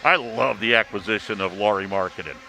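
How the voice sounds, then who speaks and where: A man working as a sports announcer, giving polished basketball analysis in measured, emphatic phrases. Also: on the low side, pushed and bright, rough recording.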